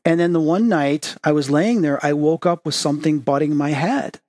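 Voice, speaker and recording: A man's voice: a little high, normal speed, a little mellow, no echo, studio quality. A man hosting a spiritual podcast, talking in an earnest, reflective way and telling personal stories. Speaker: podcast host. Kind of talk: casual spiritual podcast chat.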